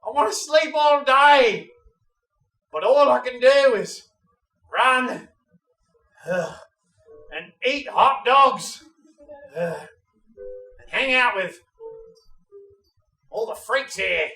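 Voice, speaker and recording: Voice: mid-range voice, animated, drawn-out words, pauses often, bright, forceful tone, roomy sound, far from the mic, soft background music, exaggerated voice, poor audio. A performer voicing a grumpy, weary geriatric puppet who complains in a laboured way, in improvised comedy. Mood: grumpy complaining.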